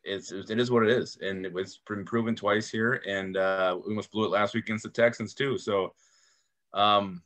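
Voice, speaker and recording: A man's voice: lowish voice, fairly even intonation, moderate pace, fairly bright tone. A man co-hosting a casual postgame sports show from home over a video call, frank with wry jokes and a habit of repeating himself. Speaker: co-host of a sports fan show. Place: home room.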